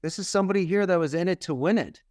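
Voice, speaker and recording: A man's voice: high voice, racing, darkish tone, no room sound, studio quality. A man in his fifties with a Philadelphia accent, telling his story as a guest in an emphatic, speech-like way. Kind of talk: interview storytelling.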